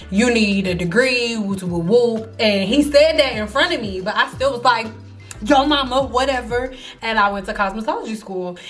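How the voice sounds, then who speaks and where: A woman in her early thirties telling life stories casually to camera, animated and slangy, acting out the people she quotes. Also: medium pitch. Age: 30s. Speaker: hairstylist vlogger.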